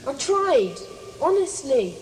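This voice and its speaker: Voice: high voice, big pitch swings, slow pace, exaggerated voice. A performer playing an anxious, apologetic schoolboy, earnest and pleading, in a radio play. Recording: echoey long reverb, faint noise.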